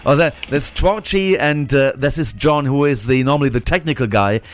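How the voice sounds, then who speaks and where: A male radio co-host on a dry, close mic, relaying listener questions. He stammers and restarts often, with a voice of ordinary pitch for a man. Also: normal speed, no room sound, soft background music. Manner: stammering, repeating words. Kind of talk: radio interview.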